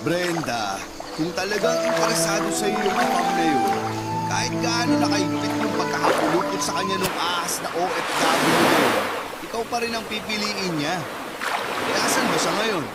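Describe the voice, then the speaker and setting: A man's voice: high voice, animated, normal speed. A man playing a caring, practical friend in a radio drama, giving blunt, teasing advice. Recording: loud background music.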